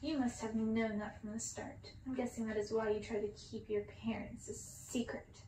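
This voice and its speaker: A woman's voice: a little high, flat delivery, normal speed, echoey room, far from the mic, background noise, lightly acted. A woman voicing a haughty, annoyed young prince in an ASMR storybook reading, cold, formal and dismissive. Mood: cold, scornful.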